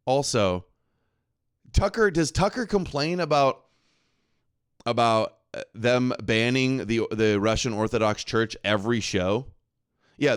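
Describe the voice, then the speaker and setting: A man's voice: mid-range voice, clear, resonant tone. Sarcastic man reacting to a clip. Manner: sarcastic, mocking, argumentative. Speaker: show host reacting to a clip. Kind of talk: live reaction commentary.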